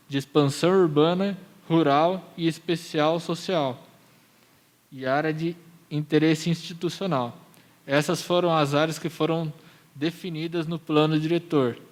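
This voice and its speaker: Brazilian man presenting, higher-pitched voice. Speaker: municipal planning presenter. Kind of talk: explanatory public hearing presentation.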